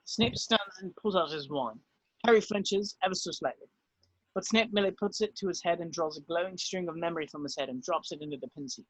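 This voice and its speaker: High-voiced man reading stage directions. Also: brisk, fairly bright tone, rough recording. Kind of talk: scripted narration at a table read.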